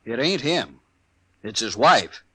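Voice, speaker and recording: Cocky frontier townsman: sharp, jumpy mid-range voice, hostile, sneering, rustic, radio western. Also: mid-range voice, sing-song, takes it slowly, husky, heavily acted. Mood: accusing. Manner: combative, sneering rustic talk. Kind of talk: old-time radio western acting.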